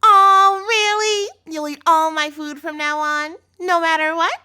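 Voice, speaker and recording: A woman's voice: very high-pitched, fairly even intonation, unhurried, sharp tone, full character voice. A woman playing an obsessive, doting captor girlfriend in a scripted audio roleplay, speaking directly to the listener in a cutesy tone with menace in it. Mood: delighted.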